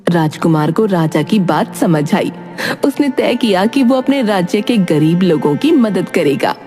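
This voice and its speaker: A woman narrating a scripted animated moral tale as a storyteller. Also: fairly low pitch, a little slow. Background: music.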